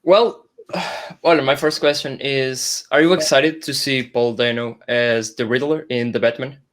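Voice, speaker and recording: A man co-hosting a casual livestream interview, asking questions in hesitant, halting phrases. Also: mid-range voice, steady tone, fairly bright tone. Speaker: co-host, interviewer.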